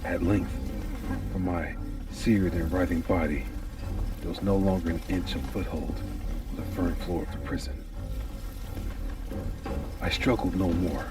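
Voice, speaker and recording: A man's voice: sits low, steady tone, unhurried, long pauses, far from the mic, music in the background. A man giving a theatrical, anguished and intense first-person reading of a gothic horror story.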